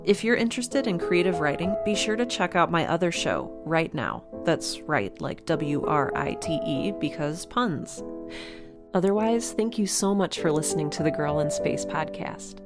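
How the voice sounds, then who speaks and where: A woman's voice: low voice, expressive, normal speed, loud music behind the voice. A woman hosting a podcast, reading scripted credits in a casual, friendly manner. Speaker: podcast host.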